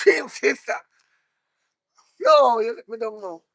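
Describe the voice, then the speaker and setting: A man's voice: very high-pitched, slow, gravelly, a bit bright, no echo, clean studio sound, exaggerated voice. A man with a Jamaican accent acting a domineering, hot-tempered gang boss in a drama, commanding and boastful. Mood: angry, scolding.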